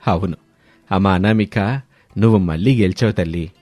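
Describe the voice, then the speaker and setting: A man voicing a kindly older father-in-law, affectionate and playfully blunt, with slow, emphatic delivery, in a story. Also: sits low, darkish tone, no room sound, pitched below the performer's own voice. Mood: approving. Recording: studio voice over background music.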